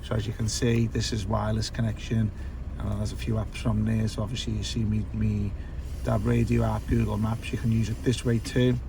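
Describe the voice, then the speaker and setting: A man with a slightly croaky, worn voice talks through a car stereo system, relaxed and full of "uh"s and "basically". He sits inside a car and films on a phone, with clear noise from the vehicle all around him. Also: fairly low pitch, brisk. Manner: relaxed, filler-heavy explaining. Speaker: car audio installer. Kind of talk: casual product walkthrough.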